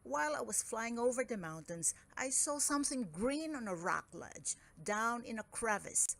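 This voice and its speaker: A woman's voice: a little high, lively intonation, moderate pace, gravelly, a bit bright, exaggerated voice. A woman voicing an eager, earnest male elf from a children's storybook as he reports news.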